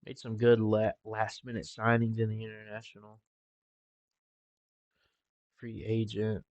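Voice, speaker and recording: A young man talking casually over a game with a congested, stuffy nose and a relaxed, rambling manner. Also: lowish voice, a little slow, no room sound. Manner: relaxed, rambling with filler ums. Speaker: gaming channel host. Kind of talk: casual gameplay commentary.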